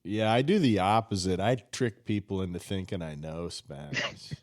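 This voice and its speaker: Low-voiced, lively podcast host. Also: male voice. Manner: curious, quick to question. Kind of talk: casual chat.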